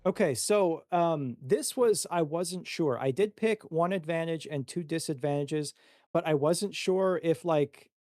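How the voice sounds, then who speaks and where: A man's voice: fairly high pitch, unhurried, a little mellow, dry recording. Tentative man chatting, dry close sound. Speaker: player creating a character. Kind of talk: casual chat.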